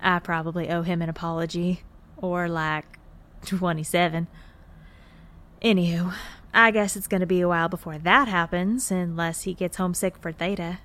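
A woman's voice: on the low side, fairly even intonation, moderate pace, fairly bright tone, close to an everyday voice. A woman playing a stubborn, teasing moonbase worker in a naturalistic audio drama, colloquial and feisty. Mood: wry, chatty. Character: wisecracking, stubborn, gruffly fond injured female moonbase worker.

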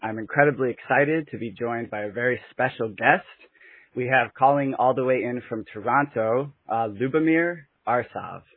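A man's voice: medium pitch, normal speed, breathy edge, dry recording. A man hosting a podcast asks questions in a laid-back, casual way, with plenty of fillers. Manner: laid-back, friendly, fillers and "you know". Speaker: podcast host. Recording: dry, tinny phone-like sound.

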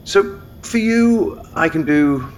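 Polite english guy, halting collector type, voice swings up and down, noisy background, audio drama. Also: a little high, expressive. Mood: politely bargaining. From England. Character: hesitant, courteous English male collector of rare boxes. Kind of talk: naturalistic audio drama acting.

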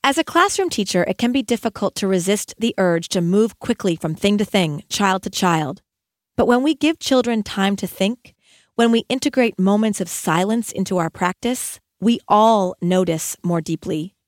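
A woman educator reading her own audiobook as scripted narration, with measured, emphatic phrasing and deliberate pauses. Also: medium pitch, animated, no echo, clean studio sound.

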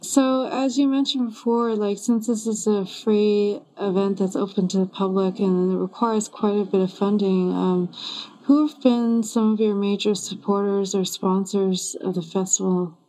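A woman's voice: mid-range voice, steady tone, normal speed, dark tone, poor audio. A woman hosting an interview, asking friendly questions and backing the guest with frequent "mm-hmm" and "right.". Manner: attentive, lots of "mm-hmm" and "right".

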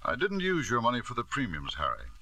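An actor playing a scheming, reckless businessman and devoted father in 1950s radio drama, with a smooth, theatrical delivery. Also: mid-range voice, huge pitch swings, quick pace, sharp tone, no room sound, full character voice. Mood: coolly calm.

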